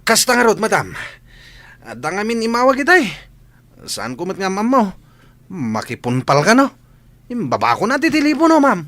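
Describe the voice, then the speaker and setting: A man's voice: sits high, huge pitch swings, normal speed, long pauses, fairly bright tone, no room sound, full character voice. A man playing a deferential but grumbling household servant in a radio comedy, hesitant and exclamatory, with an Ilocano accent. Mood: flustered, deferential. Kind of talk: radio comedy drama acting.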